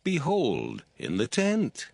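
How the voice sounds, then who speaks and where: An actor playing a humble, earnest, reverent old patriarch in a dramatized scripture reading. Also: male voice, mid-range voice, wildly swooping pitch, slow, vocal fry, a little mellow, dry recording, exaggerated voice. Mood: plain answer. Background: music.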